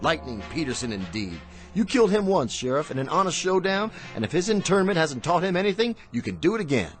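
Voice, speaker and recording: A man's voice: on the high side, big pitch swings, soft background music. An actor playing a courteous, insinuating miracle worker and con man in a radio drama. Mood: insinuating, mocking. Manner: courteous, insinuating, drawn-out mock politeness. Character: smooth-talking, sly male miracle worker and con man.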